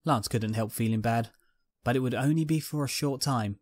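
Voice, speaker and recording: A man's voice: medium pitch, normal speed, darkish tone, dry recording, studio quality. A man narrating a scripted fan fiction story.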